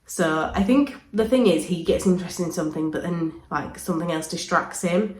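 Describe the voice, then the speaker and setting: A woman with a cold, chatting casually through a home vlog and filling pauses with frequent ums. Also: fairly low pitch, darkish tone. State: full of cold, sore throat. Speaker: vlogger. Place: indoors, home playroom. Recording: echoey room.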